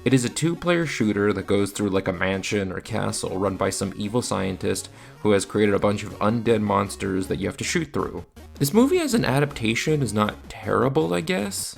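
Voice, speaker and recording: A man's voice: lowish voice, lively intonation, moderate pace, gravelly, soft background music. A man narrating a scripted video review with casual asides, in a blunt, exasperated manner.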